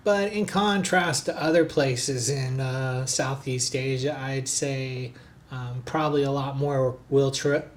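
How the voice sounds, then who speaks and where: An easygoing man talks through a travel vlog in an unhurried, conversational tone at a middle pitch. He drifts along with plenty of small fillers as he shows places off, and his voice-over was recorded on the road in a noisy city. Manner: easygoing, chatty, frequent filler words. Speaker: travel vlogger, hotel reviewer. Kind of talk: casual travel vlog narration.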